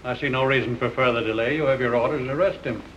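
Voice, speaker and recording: An actor playing a curt, authoritative colonial governor in 1930s film style. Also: male voice, mid-range voice, steady tone, fast talker, a bit bright, lightly acted. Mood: commanding. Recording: dull, hissy 1930s film soundtrack.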